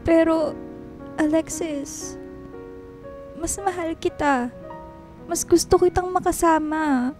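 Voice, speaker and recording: Guilty young woman confessing love, radio drama, emotional, slower delivery, music clearly behind her. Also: extremely high pitch, a little mellow. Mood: remorseful, yearning. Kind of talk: Filipino radio drama acting. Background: background music.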